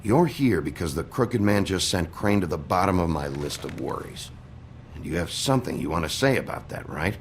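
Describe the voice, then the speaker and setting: An actor playing a gruff, brooding sheriff in a video game, terse and halting, with a gravelly voice. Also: male voice, low voice, animated, slight background noise. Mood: wry, pressing. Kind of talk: video game dialogue acting.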